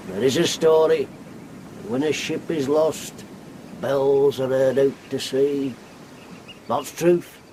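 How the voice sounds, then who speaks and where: Actor as slow-talking ancient Yorkshire sailor. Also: male voice, medium pitch, a little mellow, slight background noise, natural voice, low-quality recording. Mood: ominous. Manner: folksy, rambling, muttering asides. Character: ancient garrulous old sailor, blunt and cynical. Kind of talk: period radio drama character acting.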